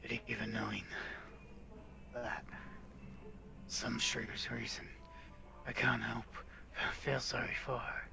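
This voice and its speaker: A man voicing a weary, earnest game protagonist who narrates his dark tale in a slow, solemn, measured way. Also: mid-range voice, little pitch movement, vocal fry, music in the background, voice pushed down. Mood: solemn, reluctant pity.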